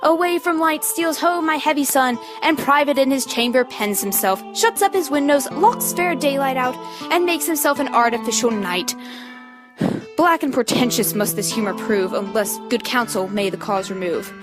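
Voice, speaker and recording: A woman reading a worried noble father in an amateur Shakespeare performance, with measured, formal verse delivery. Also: sits high, over music, close to an everyday voice. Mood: troubled. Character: worried noble father fretting over his secretive son.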